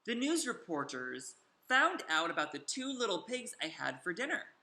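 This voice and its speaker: A storyteller reading a picture book aloud to children in a theatrical, animated way with drawn-out emphasis, in a roomy home recording. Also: mid-range voice. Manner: theatrical, drawn-out emphasis, invites listeners to join in.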